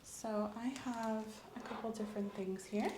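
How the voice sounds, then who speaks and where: A soft-spoken woman in an ASMR medical roleplay, gently and calmly playing an examiner, often dropping into a whisper. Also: a little high. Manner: gentle, reassuring, unhurried clinical narration. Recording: roomy echo, faint ambient noise.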